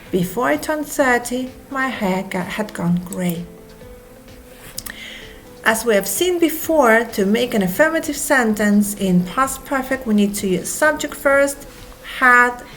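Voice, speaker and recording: Slow, clear woman teaching grammar. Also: on the high side, rough recording. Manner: repeats each example twice, slowly and clearly. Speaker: English teacher. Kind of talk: slow grammar lesson with drills. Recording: soft music behind the voice.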